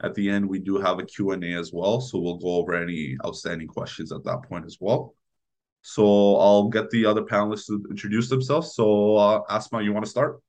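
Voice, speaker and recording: A man's voice: fairly low pitch, steady tone, brisk, a little mellow. A man, around his thirties, moderating an informal panel discussion as its host.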